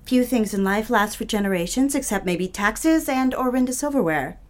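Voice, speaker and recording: A woman's voice: on the high side, expressive, moderate pace, lightly acted. Woman acting a demure, nervous young office worker. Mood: playful, presentational. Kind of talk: period romantic audio drama acting.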